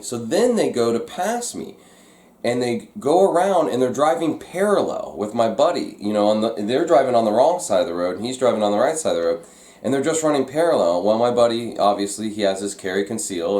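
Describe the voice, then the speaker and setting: An echoey, roomy recording of a man with a mid-pitched voice. He hosts a vlog and talks casually to his viewers, telling a story in everyday conversational language. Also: animated, brisk, darkish tone. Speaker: vlog host.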